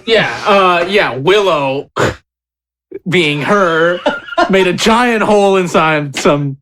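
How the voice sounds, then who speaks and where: A man's voice: high-pitched, animated, slow, noisy background. A man bantering wryly and casually as a player in an improvised tabletop roleplay.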